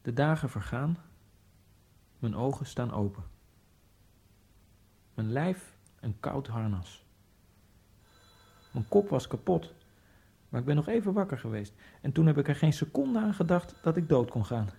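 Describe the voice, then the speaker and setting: A husky, wry dead immigrant. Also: male voice, medium pitch, big pitch swings, a little slow, long pauses, full character voice. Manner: quiet, dry and sardonic. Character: dead male Egyptian immigrant, wry and detached. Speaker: first-person narrator. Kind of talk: radio drama monologue.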